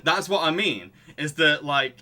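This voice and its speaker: A man's voice: fairly high pitch, measured pace, sharp tone. A man hosting a podcast, talking casually about films in a chatty, hesitant way, circling round his explanations. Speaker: podcast host. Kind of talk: casual film discussion.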